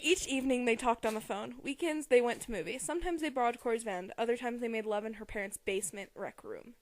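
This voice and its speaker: A woman's voice: on the high side, quick pace. A young woman giving an amateur dramatic reading of a novel, prone to giggling and stumbling over words. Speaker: amateur reader.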